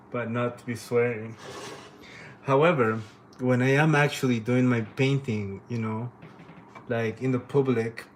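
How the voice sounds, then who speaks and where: A man's voice: mid-range voice, slight background noise, low-quality recording. A man from Honduras who speaks natively, chatting casually and rambling with plenty of swearing as he hosts a livestream. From Honduras, living in Canada since age twelve. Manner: informal, profane, rambling. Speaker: livestream host and painter.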